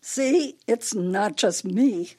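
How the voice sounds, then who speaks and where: An actress playing a fretful, grieving older wife in a radio play, plaintive and emotional. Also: female voice, medium pitch, expressive, very slow, a little mellow, slightly rough, exaggerated voice. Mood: indignant. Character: fretful, grieving elderly wife, clinging to memories.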